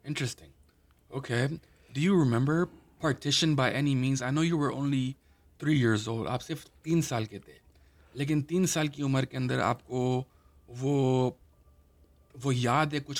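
A man's voice: medium pitch, steady tone, racing. Chatty man interviewing his father. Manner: chatty, friendly questioning, switches into Urdu. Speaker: podcast host. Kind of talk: casual interview.

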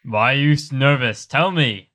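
A man's voice: mid-range voice, moderate pace, bright, forceful tone. A man playing a tabletop role-playing game, speaking casually and asking questions in game.

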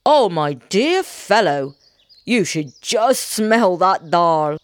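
A woman's voice: fairly low pitch, big pitch swings, slow pace, no room sound. A woman voicing a poor, crafty woodman who loves his food, confiding and wheedling in a storybook tale. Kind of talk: storybook character voice.

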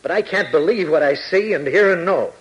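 An actor playing a strained, desperate army major, grave and intense, in a 1940s radio adventure. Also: male voice, a little high, fairly quick, muffled recording, natural voice. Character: strained middle-aged male army major, haunted, desperate.

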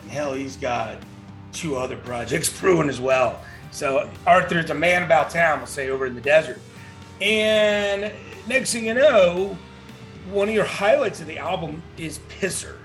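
High-voiced enthusiastic male podcast host. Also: lively intonation, measured pace, a bit bright, soft background music. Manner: enthusiastic, laughs, slangy. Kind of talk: casual interview chat.

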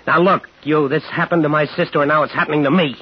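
An actor playing a determined, angry war veteran in a 1950s radio thriller, terse and insistent. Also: male voice, fairly high pitch, steady tone, fast talker, husky. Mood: angry, demanding. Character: determined, angry young war veteran hunting his brother-in-law's killer. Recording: dull 1950s radio broadcast with music.

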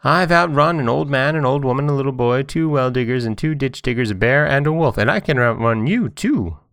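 A man's voice: mid-range voice, expressive, brisk, fairly bright tone, clean tone, dry recording, clean studio sound, voice pushed up. A man voicing a cocky, boastful little runaway johnnycake in a storybook tale, chanting out his brags. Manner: chanting, bragging list delivery.

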